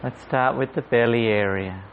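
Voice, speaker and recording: A man guiding a meditation in a gentle, unhurried manner, leaving long pauses. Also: mid-range voice. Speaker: meditation guide. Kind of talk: guided meditation. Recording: muffled audio with clear background noise.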